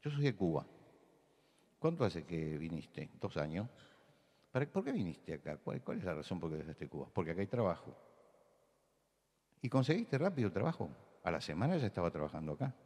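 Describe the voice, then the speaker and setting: Rioplatense male preacher, lowish voice, emphatic and repetitive, church hall reverb. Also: big pitch swings, fairly quick, pauses often, slightly rough. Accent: Rioplatense Spanish. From Buenos Aires. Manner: emphatic, repeats key words, rises to loud insistence. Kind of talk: sermon talk with anecdotes.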